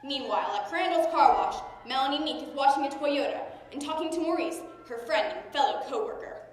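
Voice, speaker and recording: A woman's voice: sits high, animated, brisk. A woman playing a theatrical, declamatory announcer who narrates an amateur radio serial. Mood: dramatic. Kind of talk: scripted radio drama narration. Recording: echoey hall, long reverb.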